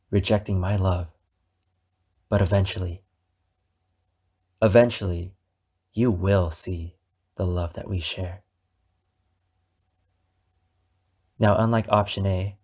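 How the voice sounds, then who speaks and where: Obsessive lovesick male captor for ASMR roleplay, played by a man: lowish pitch, very slow delivery, wounded and menacing. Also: darkish tone, breathy edge, exaggerated voice. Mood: quiet, menacing. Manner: slow, deliberate, dramatic pauses. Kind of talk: ASMR roleplay monologue addressed to the listener.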